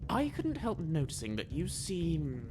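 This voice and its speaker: A man's voice: on the high side, wildly swooping pitch, unhurried, noisy background. A man playing an eager, bookish young scholar in a video game, polite, wordy and well-spoken, with a self-deprecating manner. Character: eager, bookish young male scholar adventurer from a fantasy empire. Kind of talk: video game character dialogue. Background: music.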